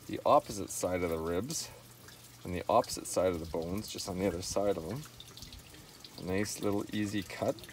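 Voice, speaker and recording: Middle-aged man, low voice, easygoing step-by-step demo while he works; outdoor camera mic, a little distant. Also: slight background noise. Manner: relaxed, talks while working, repeats "see that". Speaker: fishing video host. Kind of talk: hands-on filleting demonstration. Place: outdoor fish cleaning table.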